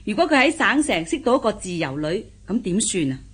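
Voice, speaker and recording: Husky, fretting older wife. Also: female voice, mid-range voice, sing-song, fairly quick. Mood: worried, complaining. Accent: Hong Kong Cantonese. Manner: complaining, worried. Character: anxious, fretting older wife and traditional mother. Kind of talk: Cantonese radio period drama acting.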